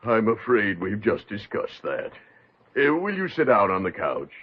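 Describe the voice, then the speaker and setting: Flustered, lovestruck publisher, wildly swooping delivery. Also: male voice, fairly low pitch, wildly swooping pitch, unhurried, husky, heavily acted. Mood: sheepish, flustered. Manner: theatrical, sputtering, effusive. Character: flustered, amorous male book publisher, comically overeager suitor. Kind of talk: 1950s radio comedy acting. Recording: dull, muffled vintage 1950s radio recording.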